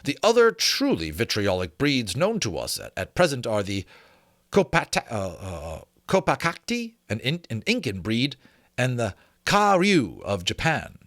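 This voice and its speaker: A man reading a book aloud as its host, in an expressive, emphatic, dramatic storytelling style with a casual touch. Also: medium pitch, measured pace, no room sound, studio quality. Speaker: host reading a novel aloud with his own asides.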